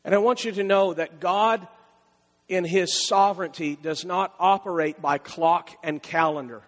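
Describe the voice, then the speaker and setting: A high-pitched man preaching from a church pulpit, speaking conversationally and circling back to repeat key phrases. His voice rises for emphasis, and a long reverb from the large hall trails behind each line. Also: steady tone, a little slow. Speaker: pastor. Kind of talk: sermon.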